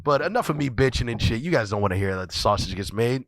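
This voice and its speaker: A man with a stuffy, scratchy voice from a cold hosts his podcast. He rambles and repeats himself, swearing freely, at a middling pitch. The sound is close and dry. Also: expressive, quick pace, no echo. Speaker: podcast host. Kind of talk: casual profane solo commentary.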